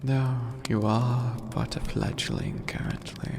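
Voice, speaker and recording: Gravelly, slow ancient demon overlord. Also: male voice, medium pitch, wildly swooping pitch, exaggerated voice. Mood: appraising, imperious. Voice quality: gravelly, breathy, often sinking to a whisper. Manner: slow, deliberate, lofty and formal. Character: ancient skeletal demon overlord, calm, regal, amused, testing subordinates. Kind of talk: ASMR roleplay monologue. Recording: long cavernous reverb, noisy ambient bed.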